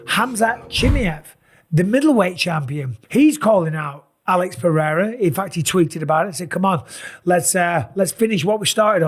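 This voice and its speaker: Tired, raspy-voiced man talking fights. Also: sits high. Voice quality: raspy, sore voice. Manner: animated, emphatic, rambling asides. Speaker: MMA analyst and channel host. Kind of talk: casual fight commentary to camera.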